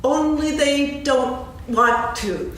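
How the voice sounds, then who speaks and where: Boastful high-voiced tiger played by a woman in echoey hall. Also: slow, fairly bright tone. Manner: boastful, emphatic. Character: boastful, bouncy young tiger, nervous when stuck. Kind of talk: staged dramatic reading of a children's story. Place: on stage, seated reading. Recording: echoey hall from far off, faint noise.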